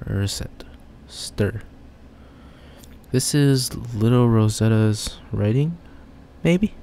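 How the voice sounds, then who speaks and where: A man's voice: mid-range voice, a little mellow. A young man playing a game casually, reading its text aloud and joking about it. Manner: chatty, reads text then jokes about it. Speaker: gamer.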